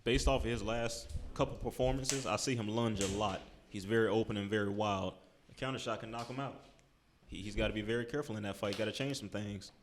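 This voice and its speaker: Low-voiced man giving direct fight takes. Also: fairly even intonation, a little fast, a little hiss or hum. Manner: confident, direct opinions. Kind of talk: casual boxing talk show.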